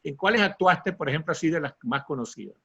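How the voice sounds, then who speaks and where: Male talk host, slightly high and raspy, conversational and emphatic, muffled lo-fi sound. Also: expressive, fast talker, a bit bright. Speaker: interview host. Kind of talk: conversational interviewing. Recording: dull lo-fi sound.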